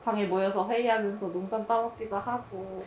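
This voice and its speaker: A woman's voice: medium pitch, steady tone, normal speed, darkish tone. A woman talking casually and tentatively in a group discussion, circling back over her words as she reflects. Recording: roomy, noisy group room recording.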